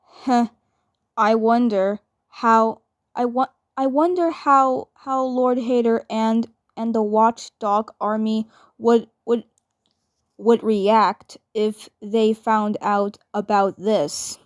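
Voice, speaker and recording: A woman voicing a skeptical, worried twin boy from a cartoon fan dub, halting and repeating words. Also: a little high, steady tone. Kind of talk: amateur fan dub acting.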